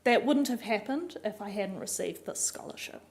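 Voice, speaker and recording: A woman's voice: medium pitch, animated, moderate pace. A young woman with a New Zealand accent gives an earnest, composed prepared speech as a doctoral student.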